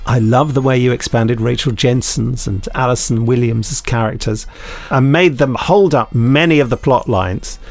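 Deep, gravelly British man chatting. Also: moderate pace, few pauses, a little mellow. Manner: jokey, digressive, breaks off reading to comment. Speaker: podcast co-host. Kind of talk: casual podcast chat, reading out listener emails.

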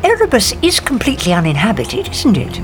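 An actress playing a headstrong, curious professor in a science fiction audio drama, haughty and wry in manner. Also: female voice, fairly high pitch, huge pitch swings, fairly quick, music in the background. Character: headstrong, curious woman professor exploring an alien planet.

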